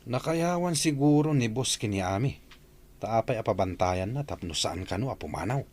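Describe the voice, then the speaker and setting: A man's voice: lowish voice, animated, normal speed, darkish tone. A man playing a dutiful, questioning security guard in a conversational audio drama, with faint background noise. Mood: curious.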